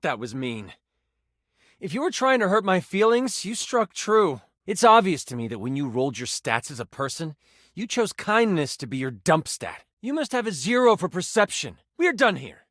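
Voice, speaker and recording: A man's voice: high-pitched, sing-song, moderate pace, fairly bright tone, no echo, clean studio sound, full character voice. An actor voicing a personified gaming die as a nerdy, earnest, awkward man who stammers and gets theatrical, in video game style. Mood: hurt, cold. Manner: hesitant, stammering, effusive, theatrical gamer talk.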